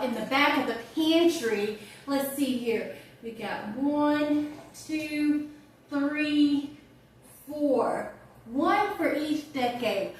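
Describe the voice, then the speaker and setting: A chatty, wry older woman, acted by a woman in a stage monologue, talks in a high, slow voice with conversational pauses. The room is very echoey around her. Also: far from the mic. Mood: chatty, warm. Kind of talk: theatrical audition monologue.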